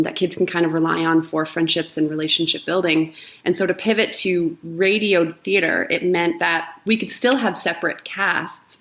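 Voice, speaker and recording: Earnest woman drama teacher. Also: fairly low pitch. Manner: earnest, reflective, with many "um" fillers. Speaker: high-school drama teacher. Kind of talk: interview answer.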